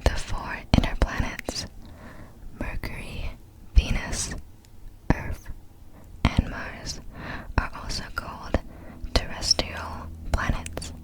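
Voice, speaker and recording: A woman speaks entirely in a breathy whisper, in the style of an ASMR creator. Her pace is very slow, with soft, measured phrases set apart by small pauses as she reads out facts. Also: low-quality recording.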